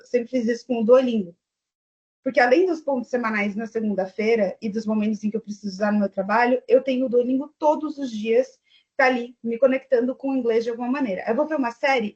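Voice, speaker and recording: Husky Brazilian woman, chatty. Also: on the high side, darkish tone. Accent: Brazilian Portuguese. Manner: chatty, thinks aloud with fillers. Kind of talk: online panel chat. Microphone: video call. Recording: dull lo-fi online call audio.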